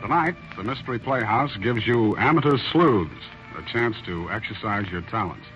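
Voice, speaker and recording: A man's voice: lowish voice, animated, normal speed, close to an everyday voice. A man playing a 1940s radio mystery host. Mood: genial. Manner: genial, theatrical announcing. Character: genial male detective host of a mystery show. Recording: dull old 1940s radio broadcast with music.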